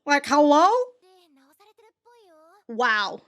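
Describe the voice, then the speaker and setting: High-voiced woman, slangy and exclamatory. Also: extremely slow, pushed and bright. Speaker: reaction video host. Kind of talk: casual reaction commentary to an anime, reading subtitles aloud.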